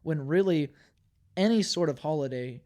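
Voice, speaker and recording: A man's voice: on the high side, dark tone. A man, a podcast co-host, sharing thoughtful, hedged opinions in a casual group chat. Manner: measured, thoughtful, hedging opinions.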